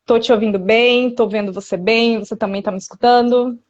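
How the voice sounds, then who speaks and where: A high-pitched woman in her thirties hosts a casual live chat, her accent a blend of São Paulo and Northeastern Brazil. She talks in a friendly, chatty way and laughs easily. Her voice comes through a video call with a dull, lo-fi sound. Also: fairly even intonation, a little fast. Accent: mixed São Paulo and Northeast Brazilian. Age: 30s. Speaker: host.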